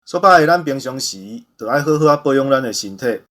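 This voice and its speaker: A man with a Taiwanese accent, hosting radio with a grandiose, earnest sales pitch. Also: expressive, a little fast, a bit bright. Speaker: radio host pitching a product.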